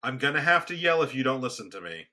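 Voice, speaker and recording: A soft-spoken man co-hosting a casual podcast, rambling with frequent restarts. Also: very animated, quick pace. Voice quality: booming when raised. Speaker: podcast co-host. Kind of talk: casual conversation. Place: home studio, remote recording.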